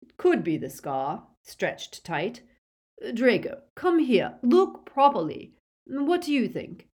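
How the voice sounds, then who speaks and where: A woman's voice: lowish voice, animated, measured pace. A woman voicing a proud, anxious villainous aristocratic father in an audiobook, urgent and pompous. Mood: eager urging. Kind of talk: audiobook character acting.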